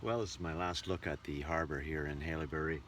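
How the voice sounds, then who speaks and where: A man's voice: a bass voice, fairly even intonation, fast. A man who works as a travelling entertainer, chatting casually in a travel vlog. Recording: outdoor ambience and vehicle noise.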